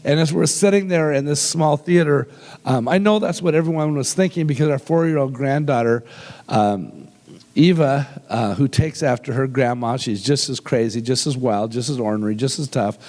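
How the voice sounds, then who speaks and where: A man in his sixties preaching a sermon as pastor, his voice deepened and roughened by a cold, folksy and humorous, slowing down when he reads scripture. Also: a little mellow. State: has a cold, deep rough voice. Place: church sanctuary with long reverb. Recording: reverberant hall sound.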